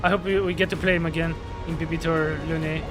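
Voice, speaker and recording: Give me a man with a fairly high voice reacting to a game as he plays. He jokes casually, with game music playing clearly behind. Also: little pitch movement, fast talker. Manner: playful, jokey reactions. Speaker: game streamer. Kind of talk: playthrough commentary.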